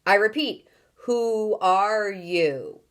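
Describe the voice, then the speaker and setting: A woman reading aloud, voicing an angry, urgent man who booms orders over a loudspeaker. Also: expressive, slow, heavily acted. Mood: shouting. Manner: commanding, urgent, shouting. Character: booming, angry male voice of space control.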